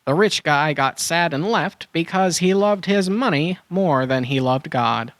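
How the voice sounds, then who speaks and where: A performer playing an eager animal adventurer in a children's audio drama, earnest and expressive. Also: mid-range voice, measured pace, no echo, natural voice.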